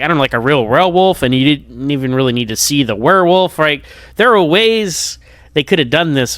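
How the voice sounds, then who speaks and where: A man's voice: fairly high pitch, a bit bright, no echo, clean studio sound. An American man giving casual, rambling review commentary in a hesitant, conversational way, often interrupting himself. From United States. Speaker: podcast listener giving episode feedback.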